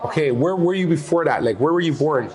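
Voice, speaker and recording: A man hosting a casual podcast interview on location, chatty and quick to chime in with short affirmations. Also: fairly high pitch, lively intonation, a crowd in the background, rough recording. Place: busy convention center, recorded on location.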